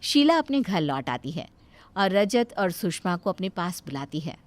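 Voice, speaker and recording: A woman narrating a cartoon moral story in a lively, expressive storybook style. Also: fairly low pitch, moderate pace, no echo.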